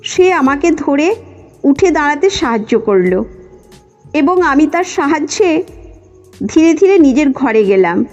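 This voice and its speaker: A woman's voice: sits high, a little mellow. A woman reading a scripted first-person story as its narrator. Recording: muffled lo-fi audio with music. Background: background music.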